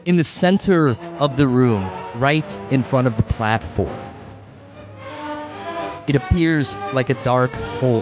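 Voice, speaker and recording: A man's voice: mid-range voice, highs cut off. A man narrating a tabletop game as game master, improvising with informal, offhand asides.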